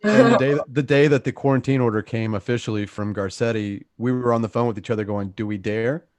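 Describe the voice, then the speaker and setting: A man's voice: medium pitch, steady tone, quick pace, darkish tone, dry recording. A man in his fifties leading a casual chat among actors and putting questions to the others. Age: 50s. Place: at home on a group video call.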